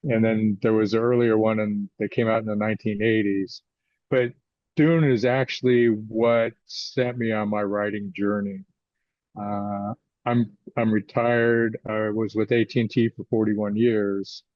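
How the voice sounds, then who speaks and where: An American man in his sixties speaking conversationally as an interview guest, often pausing mid-thought. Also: on the low side, level tone, no echo. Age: 60s. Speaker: guest author. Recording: slightly dull, lo-fi audio.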